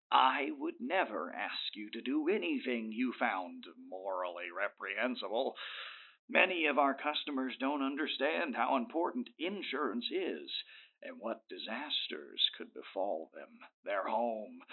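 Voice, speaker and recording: Thin phone-like audio, male actor as oily older crime boss, widely swinging pitch, theatrical drawl, audio drama. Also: lively intonation, breathy edge. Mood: unctuous. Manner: theatrical, unctuous, drawn-out emphatic delivery. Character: scheming, smooth-talking older male tycoon and crime boss. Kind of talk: comedic audio drama acting.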